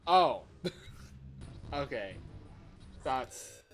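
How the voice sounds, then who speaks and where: A man giving live, excitable gaming commentary as he plays, thinking aloud as he goes. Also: mid-range voice, drawn-out words, soft background music. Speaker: livestreamer playing a fangame.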